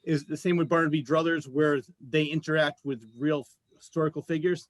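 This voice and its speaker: Male talk show host, fairly high voice, conversational questioning, dry close recording. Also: fairly even intonation, a little fast, no room sound. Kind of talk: conversational interviewing.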